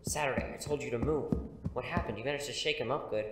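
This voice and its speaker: A man's voice: fast talker, close to an everyday voice. Man playing a protective young-hearted superhero, echoey. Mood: concerned, reassuring. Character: protective, steady local superhero mentoring a teenager. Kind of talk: naturalistic audio drama acting. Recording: echoey, faint noise and rumble.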